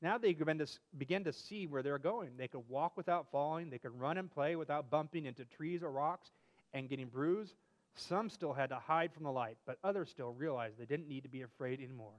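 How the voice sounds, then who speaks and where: A man's voice: on the high side, fairly quick, dull audio. A man reading a children's story aloud expressively as a co-host, with playful asides.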